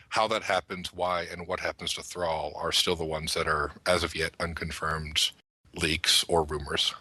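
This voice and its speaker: A man's voice: sits low, sharp tone, dry recording. A man on a podcast panel explaining points in precise, matter-of-fact, rather formal phrasing.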